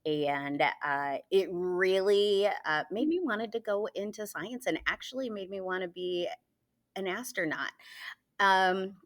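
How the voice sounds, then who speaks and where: A woman's voice: mid-range voice, lively intonation, a little slow. A woman in her forties from Michigan, a teacher chatting casually as an interview guest, with lots of fillers and frequent laughter. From Michigan, USA. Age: 40s.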